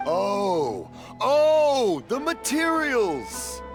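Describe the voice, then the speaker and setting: A man's voice: very high-pitched, wildly swooping pitch, very slow, over loud music, exaggerated voice. A man playing a cheerful old craftsman father in a video game, hard of hearing and given to rambling stories and confused exclamations. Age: older.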